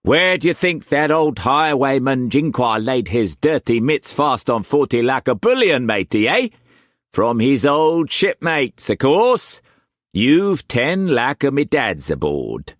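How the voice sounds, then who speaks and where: A man voicing a boisterous pirate chief who speaks rough Cockney slang, jovial but menacing. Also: mid-range voice, big pitch swings, slow, dry recording, studio quality, pitched above the performer's own voice.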